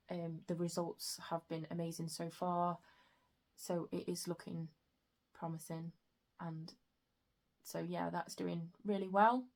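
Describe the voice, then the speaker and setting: Soft, flat-voiced woman with a croaky throat. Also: on the low side. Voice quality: soft, unhurried, with frequent "um" and "like" fillers. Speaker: book vlogger. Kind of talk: casual reading vlog chat.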